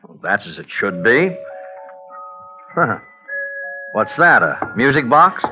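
Falsely friendly schemer husband, old radio acting, really animated, music under it. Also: male voice, medium pitch, takes it slowly. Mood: falsely casual. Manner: casual, placating. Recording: muffled old radio recording, music behind.

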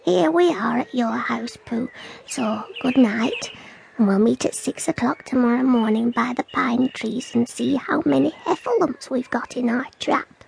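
A small, eager storybook pig, squeaking high with a heavily creaky edge. The little creature speaks slowly and earnestly, ordering its friend about. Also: female voice, a little mellow, a little hiss or hum, rough recording. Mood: earnest, hushed. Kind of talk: dramatised children's storybook acting.

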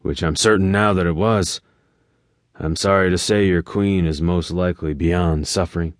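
Man as a plain-spoken young-hearted fantasy hero, low voice, earnest, determined, informal, audiobook dialogue, dry sound. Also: fairly even intonation, moderate pace, dry recording, lightly acted. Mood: concerned. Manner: earnest, informal, hesitant at times. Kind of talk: audiobook character voice.

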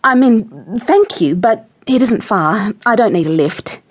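A woman's voice: a little high, animated, measured pace, pitched above the performer's own voice. A woman voicing an earnest, impulsive young girl in a children's storybook, polite but breathless and eager. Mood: protesting. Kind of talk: children's audiobook character voice.

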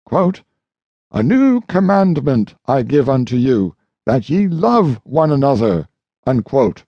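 A man narrating an audiobook, reading a formal historical address in a measured, solemn, oratorical manner. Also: medium pitch, very animated, gravelly, no room sound, dull audio.

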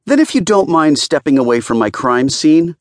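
A man's voice: on the high side, very animated, moderate pace, dry recording, studio quality, pitched above the performer's own voice. A man voicing a sour, territorial female police detective in an audiobook, curt, grudging and defiant. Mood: annoyed, curt.

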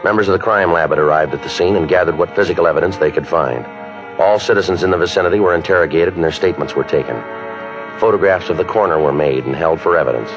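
An actor playing a stoic, businesslike police detective in a clipped, deadpan 1950s radio drama style. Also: male voice, low-pitched, quick pace, close to an everyday voice. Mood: matter-of-fact. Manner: deadpan, clipped, matter-of-fact. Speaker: lead actor and narrator. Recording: dull 1950s radio recording with music.

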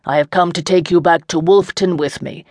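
A reader playing a commanding dark lord who speaks calmly and with quiet mockery. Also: normal speed, dry recording, studio quality, natural voice. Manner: calm, low and assured.

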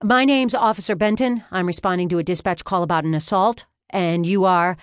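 A woman's voice: fairly low pitch, brisk, smooth, dry recording, clean studio sound, voice pushed up, close to an everyday voice. A woman voicing a stern, businesslike police officer with a firm official manner in an audiobook story. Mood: official, questioning.

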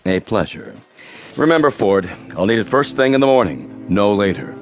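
An actor voices a stern male company boss in a radio play. He is curt, impatient and used to giving orders, with a lively, moving pitch. There is faint music behind him. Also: normal speed, close to an everyday voice. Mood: brisk. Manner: curt, authoritative. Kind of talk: radio drama acting.

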